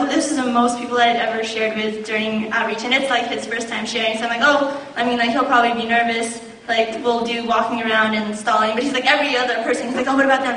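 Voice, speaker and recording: A woman's voice: fairly high pitch, a bit bright, a little hiss or hum. A young woman giving a testimony to an audience, rambling with many fillers and quoting her partner. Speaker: conference attendee giving testimony. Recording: echoey hall, long reverb, distant mic, crowd.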